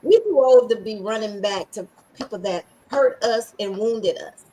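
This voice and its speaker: A woman's voice: fairly high pitch, normal speed, dark tone. A middle-aged woman with a scratchy voice hosting a podcast, talking in a sassy, emphatic and preachy way with lots of slang. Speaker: podcast host. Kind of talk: casual motivational chat.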